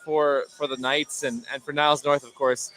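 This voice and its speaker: A man's voice: a little high, flat delivery, moderate pace, fairly bright tone, a little hiss or hum, poor audio. A man giving conversational live basketball analysis as a color commentator, often repeating words as he thinks aloud. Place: indoor sports gym.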